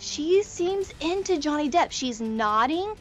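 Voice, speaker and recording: A woman in her thirties giving quick, chatty court commentary over a video call. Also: high voice, lively intonation, highs cut off, faint music behind. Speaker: court correspondent. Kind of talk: live news commentary.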